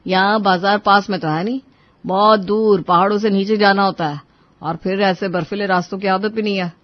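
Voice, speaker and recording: A woman's voice: medium pitch, fairly even intonation, moderate pace, no room sound, lightly acted. A reasonable young husband in a moral-story cartoon, voiced by a woman. He explains and placates in an everyday way. The sound is dry and a touch dull. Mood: placating.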